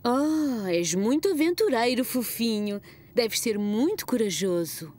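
Casting: a doting cartoon mother, European Portuguese accent, high lilting voice, slow delivery. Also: animated. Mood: affectionate, amused. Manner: affectionate, gently teasing. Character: affectionate, gently amused young mother. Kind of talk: children's cartoon dub.

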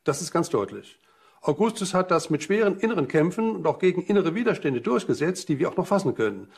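A man's voice: high voice, sing-song, fast, gravelly, husky. A man speaking as an interviewed expert, explaining emphatically.

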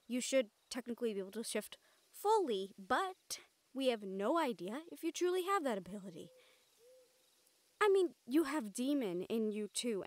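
A woman's voice: a little high, no echo, lightly acted. A woman playing an exasperated but caring siren in an audio roleplay, earnest and sighing, with Spanish endearments. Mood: puzzled, patient. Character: female siren-banshee, exasperated but caring partner and team mate.

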